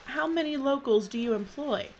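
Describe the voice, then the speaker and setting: A woman acting a shrewd, bossy documentary crew leader in an audio drama, brisk, commanding and dryly polite. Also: high-pitched, animated, a little mellow, highs cut off. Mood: polite, probing.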